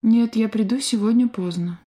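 A woman reading a scripted language drill, clear and deliberate, speaking evenly. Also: on the high side, dark tone.

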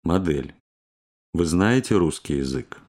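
An extremely low, deep voice reads language drill lines. Each word is delivered slowly and with careful articulation, as in a studio lesson. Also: expressive, darkish tone. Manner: slow, clear, carefully articulated. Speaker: language course speaker.

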